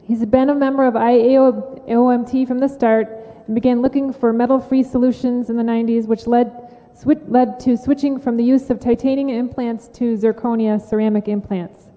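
A woman's voice: a little high, steady tone, moderate pace, few pauses, mellow, long reverb tail, poor audio. A woman moderator at a conference, reading a speaker's introduction from a lectern. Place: large conference hall stage. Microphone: lectern microphone.

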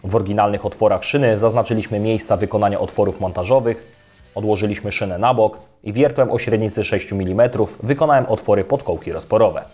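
Young guy narrating a how-to install, low steady voice, soft guitar underneath. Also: quick pace. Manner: calm, even, step-by-step instructional delivery. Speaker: electrician presenting a how-to video. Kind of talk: scripted voice-over narration. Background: soft guitar music.

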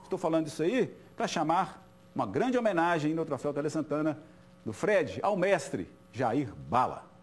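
A man's voice: a little high, big pitch swings, moderate pace. A middle-aged Brazilian man hosting a studio sports talk show.

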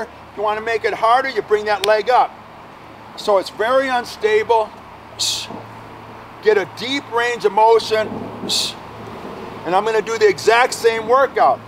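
High-voiced energetic male fitness coach. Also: slight background noise, low-quality recording. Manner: energetic, emphatic, casual slang. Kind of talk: outdoor workout demonstration. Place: outdoor park playground.

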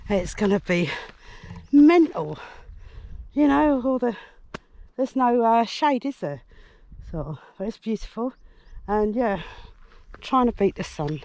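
A man's voice: high voice, animated, unhurried, long pauses, a little mellow. A man talking casually to camera while out on a mountain hike, rambling along with plenty of fillers and little chuckles. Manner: chatty and rambling, many fillers, chuckles to himself. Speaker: vlogger, hiker. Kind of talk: casual vlog talk to camera. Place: outdoors on a mountain path.

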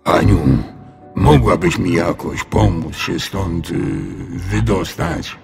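A man's voice: sits low, takes it slowly, gravelly, over music, heavily acted. A man voicing a big, friendly, bumbling male dragon in a children's audio story, with hesitant, drawling, sheepish speech. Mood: bumbling, puzzled.

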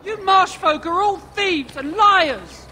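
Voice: very high-pitched, expressive, slow, sharp tone, full character voice. An actor playing an indignant, accusatory villager in a heated quarrel in a fantasy video game. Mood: angry, accusing. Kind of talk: video game character dialogue.

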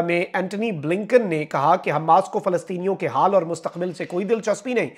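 A young man with a Pakistani Urdu accent and a fairly high voice delivers online news commentary. He reads through the updates at an even clip, then slips into asides to his viewers with little "uh" pauses. The sound is close and clean, from a lapel mic in a small indoor studio. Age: young adult.

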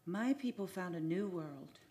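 An actress playing a mysterious, imperious sea woman who longs for love, speaking formally and deliberately in an old-time radio drama. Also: on the low side, expressive, warm tone. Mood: yearning, grave.

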